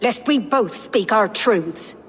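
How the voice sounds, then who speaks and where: A woman playing a proud, sharp-tongued Saxon mother, emotional and imperious, in a video game cutscene with hall reverb. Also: medium pitch, animated, takes it slowly. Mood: indignant. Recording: reverberant hall, background noise.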